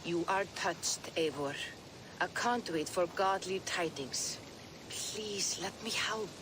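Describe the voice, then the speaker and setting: An actress playing a calm, mystical seer in a video game cutscene, speaking in a measured, solemn way over noisy game audio. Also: female voice, on the low side. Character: calm mystical female seer, middle-aged.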